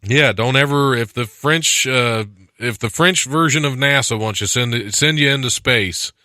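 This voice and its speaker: A man's voice: mid-range voice, a little slow, sharp tone, dry recording, studio quality. A man hosting a casual comedy podcast, reading news items and breaking off into sarcastic, joking asides. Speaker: podcast host. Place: studio.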